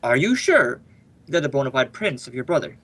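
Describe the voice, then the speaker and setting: A man playing a polite but firm fingerprint official in an old time radio drama, husky and formal. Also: medium pitch, sing-song, fairly quick, fairly bright tone. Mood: polite, questioning.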